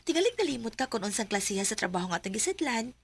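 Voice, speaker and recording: A woman playing a blunt scolding coworker, rather high voice. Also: sing-song, rapid-fire, slightly rough, full character voice. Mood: warning.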